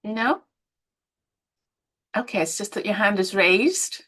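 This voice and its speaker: A middle-aged woman with an Irish accent, hosting a live online webinar and encouraging participants with gentle, soft-spoken asides. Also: mid-range voice, expressive, slow pace. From Ireland. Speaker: webinar host and women's coach.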